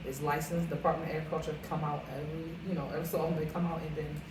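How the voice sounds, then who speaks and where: A woman's voice: low voice, flat delivery, rapid-fire, recorded from a distance. A woman from Philadelphia, a caterer and realtor, chatting casually as an interview guest and rambling with many fillers. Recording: echoey room, off-mic, noisy.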